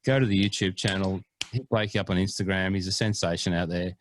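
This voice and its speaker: A man's voice: low voice, steady tone, quick pace, a little hiss or hum. A man co-hosting a golf podcast in casual chat, playful and mock-earnest, hamming up foreign pronunciations. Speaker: podcast co-host.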